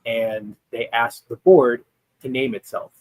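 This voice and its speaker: A man's voice: mid-range voice, unhurried, darkish tone, no room sound, low-quality recording. A man appearing as a guest expert, explaining history in a conversational way with frequent ums and restarts. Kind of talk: explaining history in casual chat.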